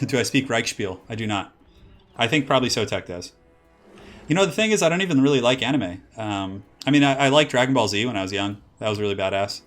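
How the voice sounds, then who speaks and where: A young man streams and casts a strategy game match, his voice at an ordinary middle pitch. He talks loosely and casually, stringing thoughts together with many "like"s and restarts as he chats with viewers. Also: lively intonation, fast talker, fairly bright tone, faint music behind. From California. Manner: rambling, filler-heavy with many "like"s. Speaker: streamer and caster. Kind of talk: casual game commentary with chat.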